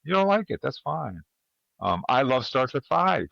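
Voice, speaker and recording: A man hosting a casual panel chat, talking in a halting, opinionated, conversational way. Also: mid-range voice, sing-song, gravelly, fairly bright tone, no echo, muffled recording. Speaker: podcast host.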